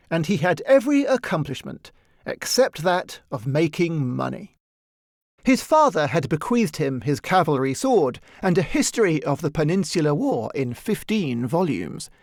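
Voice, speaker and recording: A man narrating a literary story from a script in an expressive, measured storytelling manner. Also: a little high, dry recording, studio quality. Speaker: audiobook narrator.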